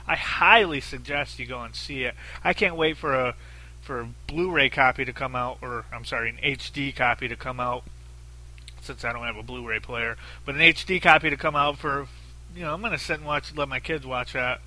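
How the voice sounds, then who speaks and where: A man's voice: mid-range voice, fairly even intonation, fairly quick, bright, forceful tone, poor audio. A man with a very nasal voice, hosting a solo podcast in a blunt, profane, rambling way with lots of fillers. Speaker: podcast host. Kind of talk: casual solo podcast talk.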